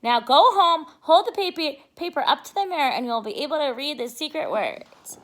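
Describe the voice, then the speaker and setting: A woman voicing an anxious schoolboy with a sly streak from a children's storybook, in an exaggerated, expressive way. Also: high-pitched, brisk. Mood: sly, confident. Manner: exaggerated, expressive read-aloud delivery.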